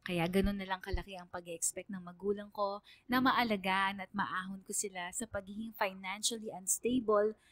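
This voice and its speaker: A Filipino woman working as a radio DJ, narrating a listener's letter as a scripted dramatic story in an earnest, measured way. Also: medium pitch, fairly even intonation, fairly bright tone, no echo. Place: dry studio, music behind.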